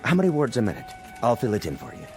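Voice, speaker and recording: An actor playing a businesslike employment agency clerk, officious and probing, in a radio drama. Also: male voice, medium pitch, big pitch swings, very fast, darkish tone, faint music behind, natural voice.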